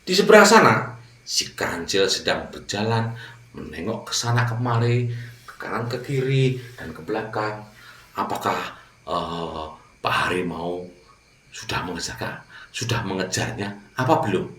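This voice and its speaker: A man's voice: fairly low pitch, expressive, unhurried, fairly bright tone, echoey room, far from the mic, slight background noise. A man narrating a fable for children as a storyteller, addressing his young listeners directly.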